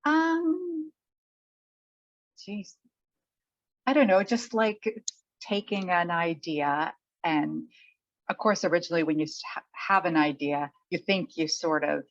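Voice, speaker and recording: A middle-aged woman chatting casually as an interviewed author, thinking aloud in a halting way. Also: on the low side, expressive, no room sound. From Canada. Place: remote online call. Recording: dull, muffled lo-fi audio.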